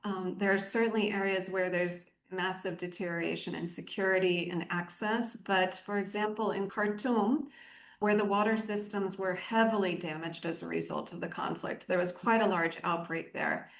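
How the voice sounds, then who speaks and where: A woman giving measured, articulate explanations as an aid director interviewed on the radio. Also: on the low side, steady tone, gravelly. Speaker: aid organisation country director, interview guest. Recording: roomy, echoing sound.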